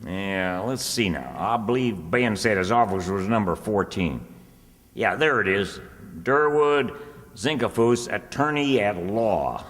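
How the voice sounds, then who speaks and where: A man acts out a bossy, shrewd country storekeeper. The voice is on the low side and folksy, in the style of old radio comedy. Long hall reverb surrounds it. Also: expressive, unhurried, a bit bright. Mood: impatient. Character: Lum, practical, bossy, shrewd rural storekeeper.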